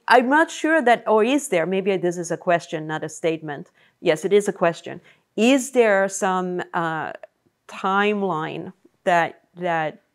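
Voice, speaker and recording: A woman hosting an interview, asking measured questions and rephrasing them as she goes. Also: fairly low pitch. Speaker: podcast host.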